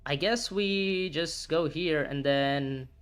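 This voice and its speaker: A man in his early twenties streaming a board game, thinking his moves aloud, reacting loudly and swearing at bad turns. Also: fairly high pitch, slow pace, smooth. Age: 20s. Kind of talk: live gameplay commentary, thinking aloud.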